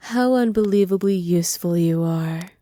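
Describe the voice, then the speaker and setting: Woman acting as a possessive evil witch, doting and teasing, mellow soft voice, whispery ASMR roleplay, close and dry. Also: fairly low pitch, moderate pace, no echo, close to an everyday voice. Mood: languid, pleased. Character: doting, possessive evil witch pampering her captive pet. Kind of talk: ASMR roleplay, intimate monologue to listener. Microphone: close microphone, dry.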